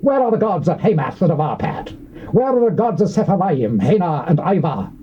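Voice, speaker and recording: An actor with a British accent playing a boastful, threatening Assyrian envoy, declaiming in a taunting, theatrical biblical drama style. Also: male voice, sits high, big pitch swings, moderate pace, dark tone. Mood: loud taunting proclamation. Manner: declamatory, taunting, archaic oratory. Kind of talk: theatrical dramatized scripture reading. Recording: muffled old cassette with background hiss.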